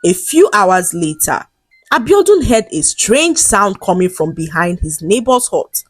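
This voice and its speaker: A woman storyteller delivers a scripted folktale with highly animated pitch. Her voice has a husky edge, and the recording is close and dry. Her phrasing is deliberate and dramatic. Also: dry recording, faint music behind.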